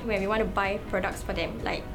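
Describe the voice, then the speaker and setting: A woman's voice: medium pitch, steady tone, fast. A woman, answering interview questions in a plain, matter-of-fact way. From Singapore. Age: 20s. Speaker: interviewee, young mother. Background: background music.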